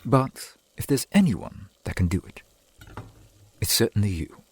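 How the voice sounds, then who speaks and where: Casting: male vampire boyfriend, acted by a man, centuries old, courtly and doting; deep, husky voice with formal old-world diction, ASMR roleplay. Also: sing-song, measured pace, no room sound, full character voice. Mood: tender, proud. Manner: formal, archaic, genteel phrasing.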